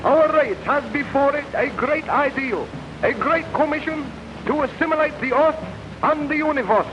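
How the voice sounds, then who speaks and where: A woman's voice: sits high, moderate pace, lightly acted. A woman playing a teacher solemnly reciting an imperial creed in a 1940s propaganda film. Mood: solemn recitation. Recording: noisy muffled 1940s film soundtrack.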